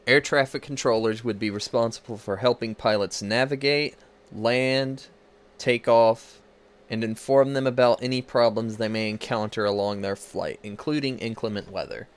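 A man's voice: medium pitch, steady tone, normal speed, smooth. A young man reading a scripted lecture as a student presenter. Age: young adult.

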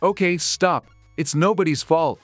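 A woman's voice: sits low, a little slow, no room sound, soft background music. A woman playing a protective, peacemaking sixteen-year-old boy in a dramatised online story. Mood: firm, protective.

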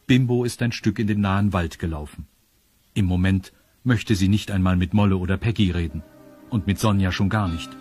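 A man's voice: low-pitched, a little mellow, husky, dry recording. A man narrating a children's audio drama as a scripted storyteller.

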